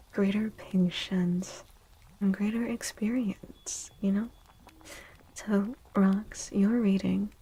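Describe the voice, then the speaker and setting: A woman gives a soft ASMR reading in a mellow, breathy voice that often drops to a whisper. She goes slowly, leaving long pauses between phrases. Her delivery is gentle and soothing. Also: medium pitch, rough recording. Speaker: ASMR tarot reader.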